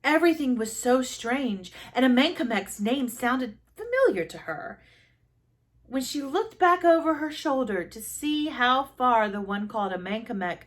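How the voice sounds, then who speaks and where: A woman reading a children's story aloud as expressive narration, with deliberate dramatic emphasis and pauses. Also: on the high side. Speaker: storybook reader.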